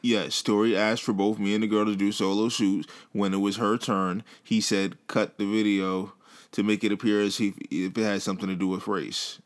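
Very deep-voiced man, calm co-host. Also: moderate pace. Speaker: podcast co-host. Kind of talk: casual gossip chat.

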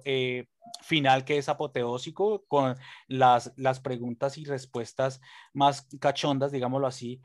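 A man's voice: medium pitch, no room sound. A man hosts a podcast with an easygoing, teasing manner. He scatters fillers and tag questions through his talk. His mid-range voice is recorded close and dry, with no room sound. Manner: jokey, lively, lots of fillers and rhetorical tags. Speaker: podcast host. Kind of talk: casual podcast hosting.

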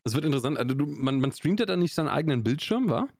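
A man's voice: fairly high pitch, sing-song, rapid-fire, a little mellow, no room sound, studio quality. A young man from Augsburg with a Swabian accent, co-hosting a podcast in a loose, rambling chat full of fillers and restarts. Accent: Swabian German. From Augsburg, Swabia, Germany. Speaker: podcast co-host. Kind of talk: casual chat.

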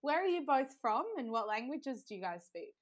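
A woman's voice: a little high, very animated, moderate pace. A young woman hosting a casual podcast, chatty and upbeat with plenty of fillers. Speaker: podcast host.

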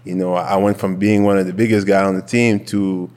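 Young quebec guy, french accent, lowish voice, kinda reverby room, chill and chatty. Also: a little fast. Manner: relaxed and candid, with many fillers and restarts. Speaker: guest, football player. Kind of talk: casual interview.

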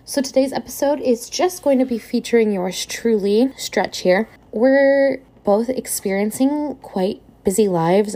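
A woman's voice: medium pitch, dark tone. A woman hosting a casual solo podcast from home, telling a creepy legend in a chatty, rambling way with playful asides. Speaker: podcast co-host. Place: home, with her cat nearby.

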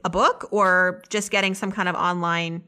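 A woman's voice: fairly low pitch, fairly even intonation, moderate pace, bright, forceful tone, clean tone. A woman hosting a podcast, interviewing in a conversational style.

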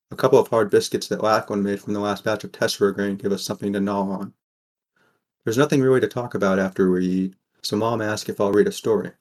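A man's voice: fairly low pitch, lightly acted. A man playing a wry, burdened teenage boy who narrates an audio drama in a plain, understated way. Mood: matter-of-fact. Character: sixteen-year-old boy, wry, burdened, caring narrator. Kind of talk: first-person scripted narration, audio fiction.

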